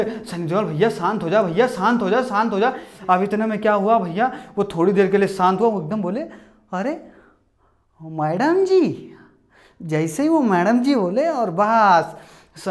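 Young guy w/ up doing standup, high voice, yelling at movie characters, lapel mic in a small room. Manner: rustic storyteller, shouts at the screen, acts out every character. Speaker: stand-up comedian. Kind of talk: comic movie retelling. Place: small indoor room with posters. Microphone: lapel mic, camera at mid distance.

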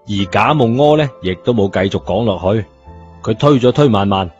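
A man's voice: on the low side, lively intonation, fairly quick, darkish tone. A man with a Cantonese accent narrating a scripted story in a colloquial style, as an audiobook reader. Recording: muffled narration over music bed.

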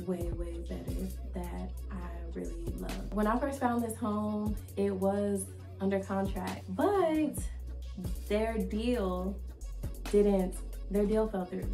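A young woman vlogging to camera in a casual, chatty way, her voice slightly raspy from a recent cold, with many likes and ums. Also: mid-range voice, mellow. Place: indoor room, plain wall and plant. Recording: echoey room with background music.

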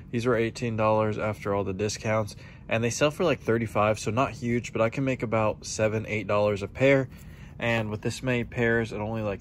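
A young man chatting informally and in slangy terms, like a vlogger, recorded on a camera at home. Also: medium pitch. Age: young adult. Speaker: online reseller and vlogger. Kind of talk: casual vlog chat. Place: indoors at home, small room. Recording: clearly noisy home recording.

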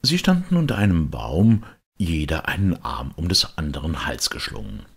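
A man's voice: extremely low pitch, big pitch swings, moderate pace, gravelly, husky. A man narrating a storybook audiobook expressively from a script.

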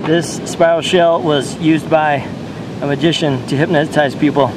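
A man on a rocky beach telling made-up stories in a deadpan way to a handheld camera. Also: a little high, moderate pace. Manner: deadpan, tall tales told straight. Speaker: vlogger. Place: rocky beach outdoors by the surf. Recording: loud surf noise outdoors. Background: loud ocean waves.

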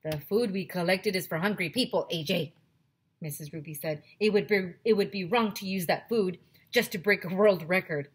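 A woman in her thirties, a teacher on a headset mic, reading a chapter book aloud to children with lively, expressive storytelling. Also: fairly low pitch, normal speed, slightly rough. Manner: expressive storytelling, addresses kids directly. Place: small home room with classroom decorations.

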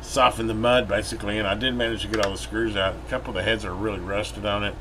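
Male, middle-aged, American, lowish voice. Folksy hands-on repair host, rambling as he works, clear background hum. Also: quick pace. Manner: folksy, rambling, thinking aloud. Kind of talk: casual hands-on repair commentary. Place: indoor workbench. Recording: steady room hum, air-conditioner-like noise.

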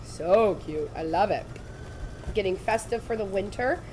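A woman, slightly raspy from laryngitis, giving a chatty, upbeat live sewing tutorial in a studio. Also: medium pitch, a little slow, darkish tone, slight background noise, low-quality recording. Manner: chatty, upbeat, addresses viewers directly. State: recovering from lost voice. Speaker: embroidery demonstrator. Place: studio set with shelves, indoors.

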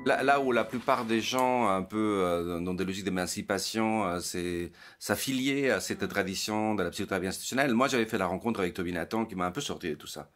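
A man's voice: lowish voice, fairly quick, hardly any pauses, fairly bright tone. A man in his late fifties, a psychologist giving an interview, thinking aloud and often stumbling over small repeated words as he searches for the next phrase. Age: 50s. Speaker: psychologist, guest. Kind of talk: reflective interview talk.

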